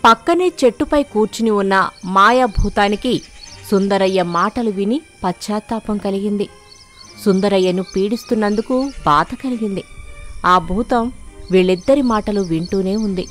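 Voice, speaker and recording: Woman narrating a story over soft music. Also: mid-range voice, normal speed.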